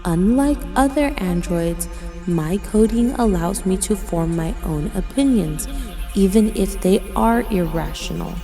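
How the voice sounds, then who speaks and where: A woman's voice: medium pitch, expressive, a little slow, music in the background. A woman playing an opinionated, impatient female android in a radio drama, speaking in a precise, formal manner. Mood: matter-of-fact.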